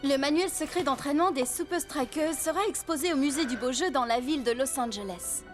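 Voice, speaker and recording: A woman performs a formal female cartoon newsreader. The voice is high and presents scripted news, with music clearly playing behind it. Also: brisk, close to an everyday voice. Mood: informative. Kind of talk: cartoon news report narration.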